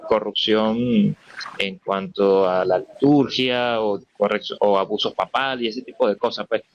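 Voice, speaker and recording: A man's voice: fairly low pitch, fairly even intonation, a little slow, lo-fi sound. A man taking part in a spontaneous religious discussion, searching hesitantly for precise wording as he reasons. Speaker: debate participant.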